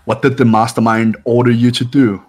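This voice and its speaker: A man's voice: medium pitch, flat delivery, moderate pace, a little mellow, lightly acted. A man voicing a haughty, suspicious young student in a visual novel game, talking in a cold, condescending, accusatory way. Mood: accusing.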